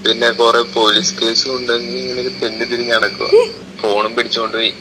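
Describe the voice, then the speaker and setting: A man's voice: medium pitch, flat delivery, brisk, noisy background. A young man chatting casually on a phone call in a laconic way. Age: young adult. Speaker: phone caller.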